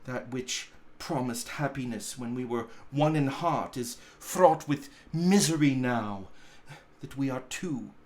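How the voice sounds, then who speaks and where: A man voicing a gentle, sorrowful young woman in a storybook, speaking gravely and deliberately in halting phrases. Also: mid-range voice, lightly acted. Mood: sad reproach. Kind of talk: dramatic storybook reading.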